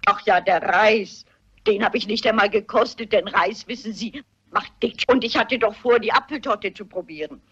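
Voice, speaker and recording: An older actress playing a shrewd, polite, dignified elderly woman sleuth posing as a housekeeper in a German radio play, with a husky voice. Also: mid-range voice, moderate pace, fairly bright tone. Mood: matter-of-fact. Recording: dull, muffled radio play recording.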